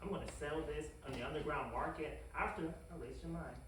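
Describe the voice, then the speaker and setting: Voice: medium pitch, fairly quick, far from the mic, natural voice. An actor reading a whiny, scheming scientist with a melodramatic, flustered manner at a comedy animation table read. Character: whiny, scheming, dramatic scientist Doctor Villalobos. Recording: echoey room from afar, faint noise.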